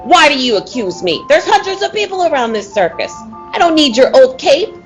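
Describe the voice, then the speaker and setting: A woman's voice: sits high, sing-song, brisk, faint music behind. A woman playing a resentful, hot-tempered circus cat tamer in a 1940s-style radio play, bitter and snappish. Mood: angry.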